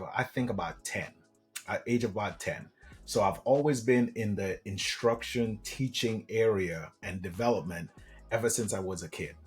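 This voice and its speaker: A man from Trinidad and Tobago, a podcast guest telling personal stories in an enthusiastic, conversational way. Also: lowish voice. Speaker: podcast guest, business coach. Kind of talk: casual personal storytelling.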